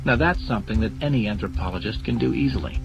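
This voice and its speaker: A man's voice: lowish voice, lively intonation, fast, close to an everyday voice. A man voicing a blunt, cynical younger anthropologist with an outspoken, sardonic manner. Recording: dull lo-fi audio under music.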